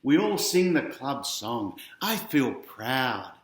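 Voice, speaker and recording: An Australian man reads a picture book to children in an echoey room. His pitch is average, and he keeps stepping out of the story with casual, chatty asides aimed straight at the listener. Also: very animated, slow pace. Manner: chatty, directly addressing young listeners. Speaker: author reading his picture book aloud.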